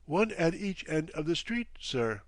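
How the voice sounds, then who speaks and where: An impassive butler, acted by a male reader, deferential yet frigid. The words are clipped and formal, set at a raised pitch in a dry, close storybook recording. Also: very animated, measured pace, dry recording.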